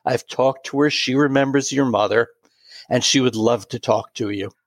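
A man in his late sixties telling a personal story in an interview, pausing and restarting often. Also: mid-range voice, no echo. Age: 60s. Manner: warm, halting anecdotal storytelling with many restarts. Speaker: guest.

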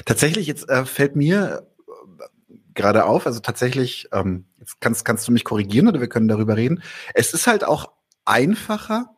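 Husky-voiced man musing in an interview. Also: medium pitch, very animated, fairly quick. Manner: reflective, hesitant, fillers. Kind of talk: conversational interview.

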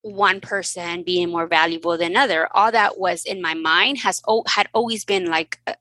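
A woman who grew up in Mexico with Spanish as her first language, telling lively stories as a guest physician in a casual interview. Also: fairly low pitch, normal speed, dry recording. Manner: animated, laughing storyteller.

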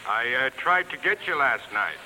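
An actor playing a smooth, cocky male vaudeville singer in 1950s radio drama, soft-spoken, coolly teasing and self-possessed. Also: mid-range voice, lively intonation, normal speed, low-quality recording. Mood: offhand. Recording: thin, hissy old radio broadcast.

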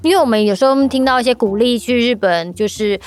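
A woman's voice: fairly high pitch, quick pace. A woman with a Taiwanese Mandarin accent, hosting a podcast in a casual, conversational interviewing style. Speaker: podcast host.

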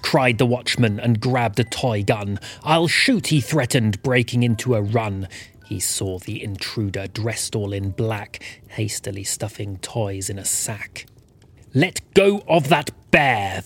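Animated, husky male storyteller. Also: mid-range voice. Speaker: podcast host and storyteller. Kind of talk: scripted narration.

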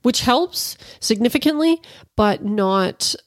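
A woman's voice: on the high side, lively intonation, slow pace, dry recording. A woman from Canada, a bit stuffy from allergies, chatting casually and rambling to her viewers about cooking. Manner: rambling, informal, with fillers and asides. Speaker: cannabis cooking vlogger.

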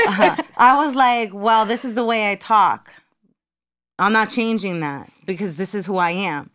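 A woman from New Jersey, a TV and radio personality, telling lively anecdotes as a guest and acting out what others said. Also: medium pitch, unhurried. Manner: lively anecdotal, acts out quoted voices. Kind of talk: casual chat.

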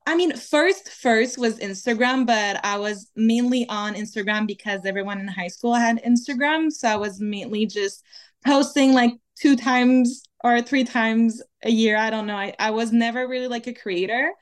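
Young Quebec woman, French accent, slightly high voice, chatty guest. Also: moderate pace. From Quebec, Canada. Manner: chatty, many "like" and "kinda" fillers. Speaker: guest, dancer. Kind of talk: casual interview chat.

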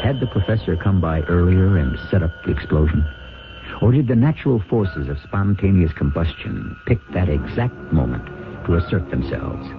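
A man's voice: sits low, very animated, moderate pace. A man hosting a 1970s radio mystery with scripted, wry and theatrical narration. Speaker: host. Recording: narrow-band 1970s radio broadcast. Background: music.

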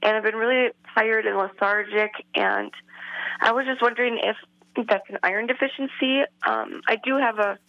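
A woman in her thirties from Wisconsin, calling in to a radio show over the phone, hesitant with many pauses and ums. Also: fairly high pitch, lively intonation, no room sound. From Wisconsin, United States. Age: 30s. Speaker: caller. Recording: lo-fi phone line.

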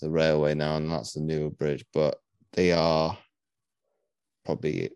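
Low-voiced rambling male podcast host. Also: steady tone, unhurried, vocal fry, darkish tone, clear, resonant tone, no room sound. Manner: rambling, searches for words, many restarts. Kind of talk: casual interview chat.

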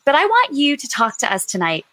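Bright, high-voiced woman hosting. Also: huge pitch swings, brisk, dry recording. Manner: effusive, gushing. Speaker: co-host of an online book show. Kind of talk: lively, chatty hosting.

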